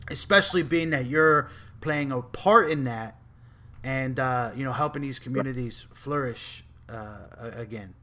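Bright-voiced, curious male interviewer. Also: mid-range voice. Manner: encouraging, curious. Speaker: interviewer, host. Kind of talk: casual conversational interview.

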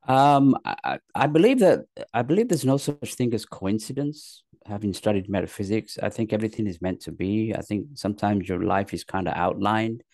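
A middle-aged man from Pakistan, raised in England, recounts his life as an interview guest. His voice sits very low with a gravelly edge, and he stumbles through restarts and fillers. The sound is dry and close. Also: animated, a little mellow, no room sound. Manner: rambling, halting with many fillers and repeated words. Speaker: guest, actor and comedian. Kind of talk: casual interview storytelling.

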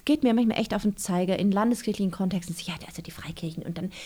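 A woman's voice: mid-range voice, rapid-fire, mellow, slightly rough. A woman, an author being interviewed, talking casually and reflectively, with many fillers and restarts.

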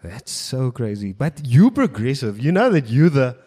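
A man co-hosting a podcast, who keeps pressing with questions in a relaxed, conversational way. His voice sits in the middle range. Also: mid-range voice, moderate pace, husky. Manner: probing, pushes back with questions. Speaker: podcast co-host. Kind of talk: casual chat.

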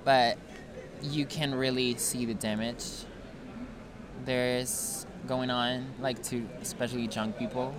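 A man's voice: mid-range voice, flat delivery, slow, crowd noise. Frank young man, guest on a casual podcast, laughs a lot, outdoors. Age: 20s. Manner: frank, laughs often, swears. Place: outdoors in a park.

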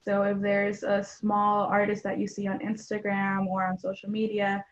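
A woman's voice: medium pitch, completely flat delivery, normal speed, dull audio. A young woman from a Mexican household in Atlanta answering interview questions earnestly, with frequent ums and you-knows. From Mexican household in Atlanta, Georgia. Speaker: guest.

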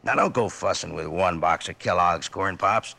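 A man with an American accent doing a folksy, jokey 1950s radio cereal commercial with drawn-out emphasis. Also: low voice, vocal fry, pushed and bright. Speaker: commercial pitchman. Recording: vintage radio recording.

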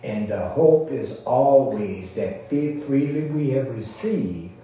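A man's voice: medium pitch, animated, takes it slowly, mellow. A man preaching in an informal, teacherly way, explaining scripture and often checking that his listeners follow. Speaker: preacher leading a church service. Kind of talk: informal expository sermon. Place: reverberant indoor church service. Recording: echoey room, distant mic.

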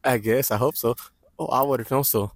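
A loud young man chatting casually on a podcast, full of slang and frequent laughter. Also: medium pitch, steady tone, moderate pace, dry recording, studio quality. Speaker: podcast co-host. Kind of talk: casual chat. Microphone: portable mic.

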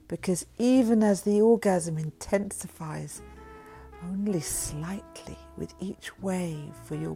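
A woman reading a sensual guided meditation in a breathy voice that often drops into a whisper. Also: on the low side. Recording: voice over soft background music. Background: music.

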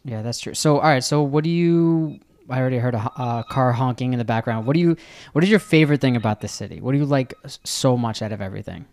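A man's voice: mid-range voice, quick pace, a little mellow. A man hosting a travel podcast, chatting casually with a guest and often restarting his questions mid-sentence. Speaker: podcast host. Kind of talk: casual interview chat.

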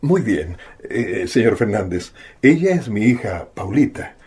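A man playing an earnest, loving father in a radio drama, gentle, expressive and formally polite. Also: on the low side, unhurried, husky, full character voice. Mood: courteous. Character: loving father of a blind girl, earnest, courteous. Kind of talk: Spanish-language radio drama acting.

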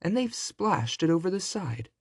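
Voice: mid-range voice, very animated, moderate pace, breathy edge, dry recording, clean studio sound, pitched above the performer's own voice. A storyteller voicing a middle-sized, proper and concerned mother bear from a children's storybook. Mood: put out.

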